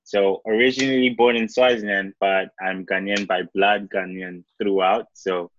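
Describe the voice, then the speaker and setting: A man's voice: deep voice, level tone, moderate pace, fairly bright tone, dull audio, slight background noise. A man in his twenties introducing himself in a laid-back, joking way over a video call. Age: 20s. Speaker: podcast guest.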